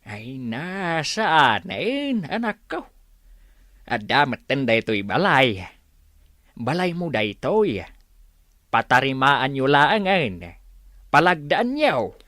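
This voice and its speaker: A man acts a stubborn village father in an Ilocano-accented radio drama. His voice swings widely and has a bright edge, close and dry. He is blunt and bossy, with tenderness underneath. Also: medium pitch, sing-song, takes it slowly, long pauses, no echo, full character voice. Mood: tender, resigned. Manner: blunt, scolding, emphatic.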